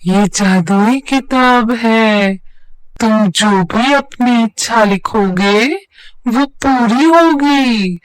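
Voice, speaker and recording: A woman plays an enchanted book that gives orders mysteriously in a children's story. The voice is fairly high and very measured, recorded dry. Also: clear, resonant tone, no echo, poor audio. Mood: commanding. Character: mysterious commanding voice of a magic book. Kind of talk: animated moral story dialogue.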